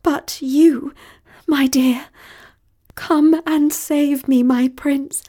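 Storybook princess, played by a woman narrator: young, imperious, melodramatic, high and slow-paced delivery. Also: big pitch swings. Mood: pleading, afraid. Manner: haughty, demanding, melodramatic. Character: haughty, dramatic young princess, imperious and easily outraged. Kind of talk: acted dialogue in a storybook reading.

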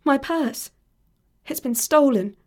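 Young princess acted by a woman, haughty, high voice, demanding, theatrical, storybook dialogue. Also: lively intonation, takes it slowly, a little mellow. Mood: stunned. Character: haughty, dramatic young princess, imperious and easily outraged.

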